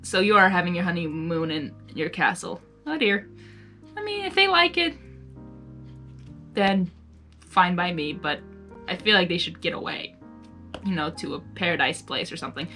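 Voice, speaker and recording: A woman doing a casual read-aloud with commentary, expressive and often breaking off into exclaiming asides. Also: on the low side, moderate pace, long pauses, faint music behind. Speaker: reader of fan fiction, reacting as she goes.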